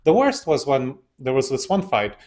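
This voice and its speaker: A man whose first language is not English, a guest in a casual interview, telling personal stories in a relaxed way and laughing often. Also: medium pitch, normal speed. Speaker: interview guest, decision-making expert.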